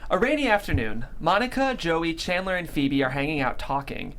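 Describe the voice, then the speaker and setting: A woman's voice: low-pitched, animated, a little fast, slight background noise, poor audio. A woman hosting a script table read and reading out the stage directions. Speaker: host and stage-direction reader.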